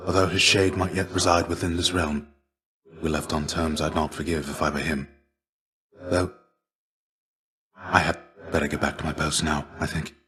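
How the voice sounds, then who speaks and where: A deep, fatherly, weary warrior mentor. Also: fairly even intonation. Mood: sorrowful, regretful. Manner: measured, formal, gentle archaic phrasing. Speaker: game character voice actor. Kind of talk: scripted video game dialogue.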